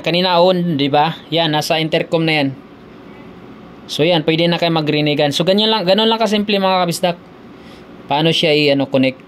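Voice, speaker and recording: A man giving a casual, unscripted product tutorial, explaining haltingly with many repeats and fillers. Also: a little high, slight background noise. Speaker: tutorial video host.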